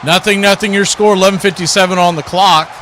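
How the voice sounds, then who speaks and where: High, bright-voiced male play-by-play commentator. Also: fast talker, slight background noise. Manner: excitable, calling action as it happens. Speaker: play-by-play host. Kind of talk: live football play-by-play commentary.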